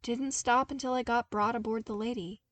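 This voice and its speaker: A woman's voice: a little high, steady tone, normal speed, a bit bright, no room sound, natural voice. Woman voicing a matter-of-fact, defensive young man. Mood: calm, dry. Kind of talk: audiobook character dialogue.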